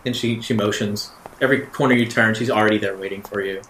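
A man's voice: lowish voice, fairly even intonation, fast talker, a bit bright, slight background noise. Man game master in a roomy echo. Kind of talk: improvised narration of a tabletop roleplaying game. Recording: roomy echo with faint music. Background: faint music.

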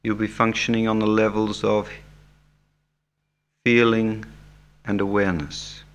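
A man leading a guided meditation as an instructor, speaking in measured, soothing short phrases with long pauses. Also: on the low side, flat delivery. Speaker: yoga nidra meditation instructor. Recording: background music under the voice. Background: music.

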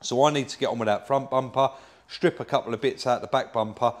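A man's voice: mid-range voice, fairly even intonation, quick pace. A British man chatting casually and explaining car repair work to viewers in a matey, colloquial way, as co-host of a vlog.

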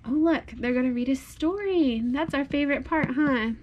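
A woman's voice: high voice, moderate pace, darkish tone, muffled recording. A woman reading a picture book aloud to a small child at home, in an encouraging, sing-song way with simple repeated phrases. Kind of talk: child-directed storybook read-aloud. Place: indoors at home, on a blanket.

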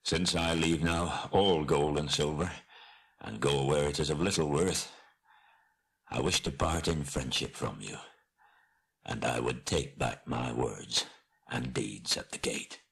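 A man's voice: rumbling low, fairly even intonation, gravelly, a bit bright, slightly rough, exaggerated voice. A man voicing a proud dwarf king on his deathbed in a storybook, solemn, repentant and formal. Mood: solemn, failing. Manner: slow, grave, formal.

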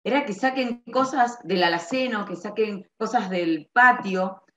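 A middle-aged woman with an Argentine accent from around Rosario, informally explaining a school project as a librarian, with hesitant pauses and stretched words. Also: medium pitch, a bit bright, a little hiss or hum. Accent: Argentine, Rosario area. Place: small bare-walled room indoors. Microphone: computer microphone on a video call. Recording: dull, echoey webcam audio.

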